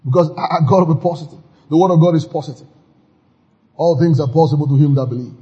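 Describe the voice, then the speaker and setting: A man preaching with a deeply husky, rough-edged voice pitched a little high. He delivers his sermon exuberantly, breaking into loud shouts of praise between readings of scripture. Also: quick pace, lo-fi sound. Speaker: pastor.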